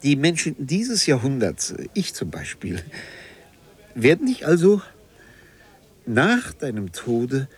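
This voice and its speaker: An actor playing a polite, bewildered bookseller in a radio play, halting and puzzled as he struggles to follow. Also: mid-range voice, huge pitch swings, husky, exaggerated voice. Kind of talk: German radio play acting.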